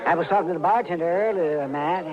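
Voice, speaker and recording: An actor playing a folksy, excitable, mischievous older town doctor who stammers along in an old-time radio western. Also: male voice, on the high side, fast talker, crowd noise, close to an everyday voice. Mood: gossipy. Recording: muffled old radio recording, faint noise.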